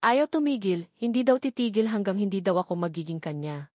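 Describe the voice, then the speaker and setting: A woman's voice: medium pitch, brisk, warm tone, no room sound, clean studio sound, close to an everyday voice. A woman narrator voicing a cold, bitter young woman who is resolute about revenge in an audiobook. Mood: cold, guarded.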